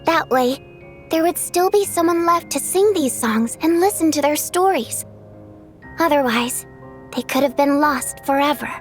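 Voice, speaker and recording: A graceful female water spirit from a fantasy game, high in pitch. She is a wistful, kindly singer who speaks in measured, lyrical phrases. Soft music plays faintly behind her. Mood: wistful, reflective. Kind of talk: video game English dub acting.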